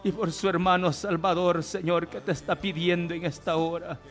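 A man's voice: high voice, little pitch movement, moderate pace, fairly bright tone. A male preacher leading a fervent Pentecostal healing prayer, pleading and exclamatory, with repeated cries of praise. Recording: music playing behind the voice. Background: music.

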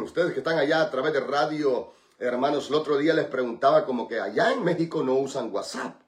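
A man's voice: a little high, roomy sound. A man hosting a radio prayer program, praying fervently with repeated invocations and chatting with listeners between prayers. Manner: fervent repetitive praying, then chatty and joking. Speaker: radio pastor.

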